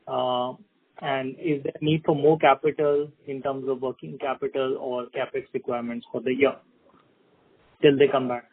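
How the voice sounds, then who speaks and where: A man asking questions as an analyst on an earnings call, polite and halting, over a phone line. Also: medium pitch, little pitch movement, husky, dry recording. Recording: narrow dry phone line.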